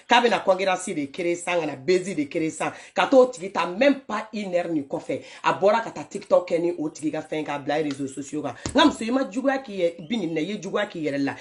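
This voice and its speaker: A woman commenting casually on voice notes for her followers, animated and scolding, often laughing as she talks. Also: on the low side. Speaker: social media commentator.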